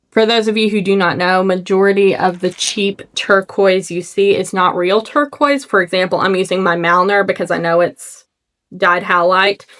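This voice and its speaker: A young woman from Arkansas with a Southern accent and a slight lisp, chatting casually in a makeup vlog, self-deprecating and quick to laugh at her own slips, filmed on a phone at home. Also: mid-range voice, fairly bright tone. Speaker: makeup vlogger. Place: at home, seated. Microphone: phone camera.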